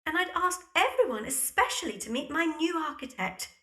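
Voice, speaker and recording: Woman acting a high-voiced, self-assured young heiress. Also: big pitch swings, bright, forceful tone, heavily acted. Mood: playfully chiding. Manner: brisk, chiding, decided. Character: self-assured, playful young heiress, modern miss with opinions. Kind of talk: period audio drama acting.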